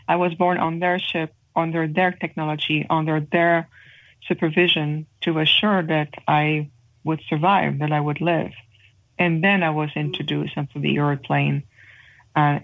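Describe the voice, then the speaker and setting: A woman from Montreal with a French Canadian accent, speaking earnestly as an interview guest, with measured pauses and fillers. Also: low-pitched. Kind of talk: interview guest describing spiritual experiences. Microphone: phone call. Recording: tinny phone line.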